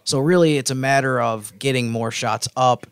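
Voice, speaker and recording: A man's voice: mid-range voice, normal speed, clear, resonant tone, no room sound. A man on a studio sports podcast, discussing team statistics conversationally. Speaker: team reporter and co-host.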